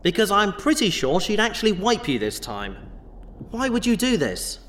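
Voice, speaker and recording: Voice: medium pitch, wildly swooping pitch, moderate pace, a bit bright, a little hiss or hum. An actor playing an easygoing, glib, apologetic treasure hunter with a casual manner in a sci-fi audio drama. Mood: annoyed, scolding. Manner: glib, casual, self-justifying.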